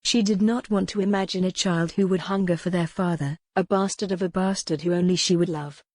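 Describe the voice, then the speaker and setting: A woman narrates historical romance with dramatic, scripted delivery. Her voice is somewhat low, and the recording is dry, with hardly any room in it. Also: steady tone, a little fast, darkish tone, no echo. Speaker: audiobook narrator.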